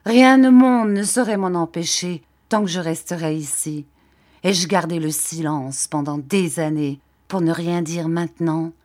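A woman's voice: deep voice, fairly even intonation, unhurried, few pauses, darkish tone. Woman acting bitter, scarred woman in vengeful fury. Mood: fierce reproach. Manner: accusing, imperious, insistent commands and reproaches. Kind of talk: dramatic audiobook acting of a classic novel.

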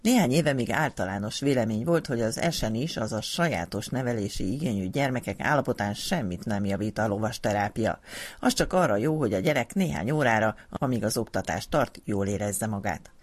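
A man's voice: on the low side, animated. A man reading scripted narration as a radio reporter.

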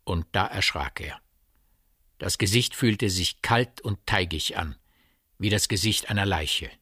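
An actor playing a storyteller in a radio drama, narrating eerie village events in a deliberate, vivid way that addresses the listener. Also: male voice, low voice, bright, forceful tone, husky, dry recording, studio quality, full character voice. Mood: wry, uneasy.

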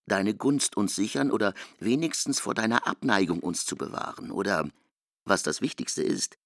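An actor playing a brooding male storyteller in a literary radio play, deliberate and expressive in his delivery. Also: fairly low pitch, gravelly, no echo, clean studio sound, heavily acted.

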